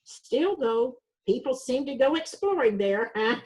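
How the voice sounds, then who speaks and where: A woman's voice: high voice, animated, measured pace, darkish tone. A woman playing an inquisitive, shrewd small-town doctor in an amateur readers theater mystery. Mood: curious.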